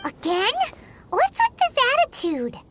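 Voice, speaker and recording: Tiny game fairy mascot: very high, squeaky, childlike, animated, excitable and caring. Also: female voice, measured pace, very noisy, heavily acted. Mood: indignant. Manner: squeaky, speaks of self in third person. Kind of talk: video game voice acting.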